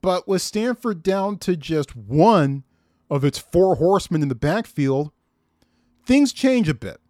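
A man's voice: sits high, sing-song, unhurried, darkish tone, no room sound, clean studio sound. A man hosting a sports talk podcast, chatting conversationally and repeating words for emphasis. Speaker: podcast host.

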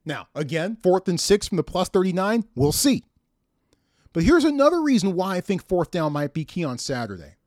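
An American man hosting a sports podcast, giving preview commentary to listeners in emphatic, deliberate phrases. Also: high-pitched, a little mellow, dry recording, studio quality.

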